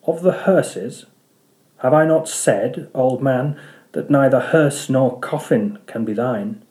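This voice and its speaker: A man's voice: medium pitch, takes it slowly, dark tone, natural voice. A man reading a storybook part as a mysterious, ominous harpooner who prophesies solemnly in archaic speech.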